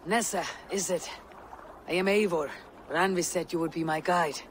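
A woman playing a bold, gruff Viking warrior in a video game, with a low husky voice. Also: fairly even intonation, moderate pace, close to an everyday voice. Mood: resolute. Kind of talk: video game cutscene acting. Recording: clear background noise.

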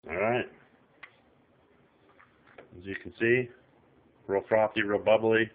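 A man's voice: on the low side, fairly even intonation, slow pace. Folksy man with tinny phone-like audio. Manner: folksy, chatty instructions, talks to the viewer. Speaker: home cook filming a recipe. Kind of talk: casual cooking tutorial. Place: small kitchen while traveling. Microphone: handheld camera filming overhead.

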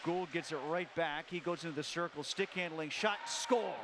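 A man calling a live hockey game play by play, quick calls that jump up on every goal. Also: a little high, noisy background. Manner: rapid calls that rise sharply on goals. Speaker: play-by-play announcer.

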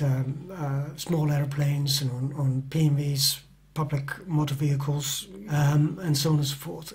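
A man's voice: a little high, a little mellow. Middle-aged author guy, english not his first language, lots of ums and restarts, unsure delivery. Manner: hesitant, many fillers and restarts. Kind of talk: interview answers.

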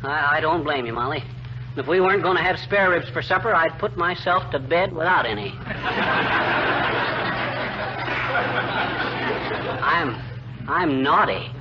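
Man playing a folksy American husband, swooping pitch. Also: lively intonation, moderate pace, a faint crowd, natural voice. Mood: sheepish. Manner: folksy, self-assured explaining. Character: blustering, hapless, folksy middle-aged husband. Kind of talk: 1940s radio comedy acting. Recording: noisy, muffled 1940s radio recording.

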